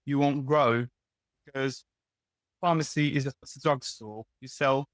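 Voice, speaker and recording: A man's voice: mid-range voice, fairly even intonation, a little slow, no echo. Candid young Lebanese man. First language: Arabic. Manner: candid, restarts and self-corrections. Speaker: guest, pharmacist. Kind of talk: casual interview talk.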